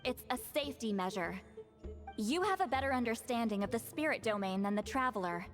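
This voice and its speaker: A woman's voice: on the high side, expressive, brisk, natural voice. A woman voicing a shrewd, no-nonsense grandmother clairvoyant in a video game, brusque, wry and decisive. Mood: firm. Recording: music behind the voice. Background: game music.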